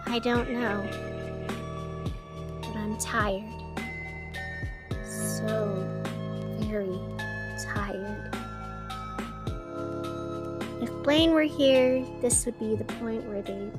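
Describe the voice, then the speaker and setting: A woman acting as a lonely, world-weary female radio host speaks at a very slow pace in a fairly high voice. She muses wistfully to herself, as in an audio drama monologue, with music clearly playing underneath. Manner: wistful, musing, talking to herself. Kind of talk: scripted radio fiction monologue with poetry.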